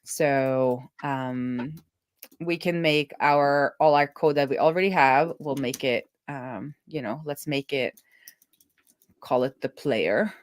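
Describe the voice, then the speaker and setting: A woman developer casually thinking aloud as she codes, often breaking off mid-thought and correcting herself. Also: extremely low pitch. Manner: halting, trailing off mid-thought and self-correcting. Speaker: developer hosting a live coding stream.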